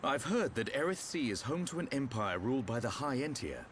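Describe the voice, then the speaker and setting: Man playing an assured, expressive seasoned warrior. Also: medium pitch, a little hiss or hum, natural voice. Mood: thoughtful. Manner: measured, explanatory, assured. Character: calm, knowledgeable seasoned male warrior, thoughtful mentor. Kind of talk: video game cutscene acting.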